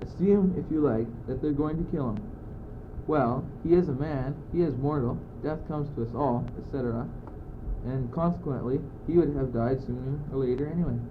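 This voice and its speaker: A man's voice: medium pitch, normal speed, natural voice. A teenage male student actor playing a cool-headed, philosophizing young courtier in an amateur radio play, with a declamatory, argumentative delivery. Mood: reasoning coolly. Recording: muffled phone-like old tape, loud noise.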